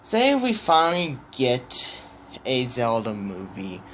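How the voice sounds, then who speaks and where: A man thinking aloud in a casual opinion vlog, hesitant and halting, often stammering on the starts of words. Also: mid-range voice, huge pitch swings, pushed and bright, a little hiss or hum. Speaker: vlogger.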